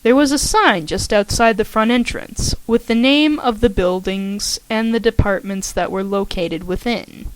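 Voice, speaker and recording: A woman's voice: mid-range voice, a little slow, few pauses, clean tone, rough recording. A woman carefully reading a story aloud, slightly shaky in her delivery. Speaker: fanfiction audiobook reader.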